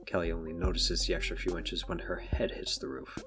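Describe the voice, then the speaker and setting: A man's voice: sits low, monotone, fairly quick. A man narrating a scripted audio drama in the third person. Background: background music.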